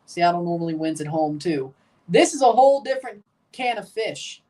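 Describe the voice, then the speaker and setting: A man's voice: sits high, moderate pace, distant mic. Chatty man calling a live match. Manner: chatty, rambling, calls goals excitedly. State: guarding a strained voice. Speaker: streamer hosting a match watchalong. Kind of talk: live casual match commentary.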